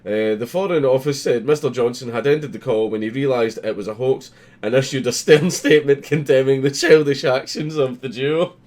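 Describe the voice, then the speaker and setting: A man's voice: medium pitch, normal speed, a little mellow. Sweary, amused man commenting on news. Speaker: host. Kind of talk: casual commentary reading a news article aloud.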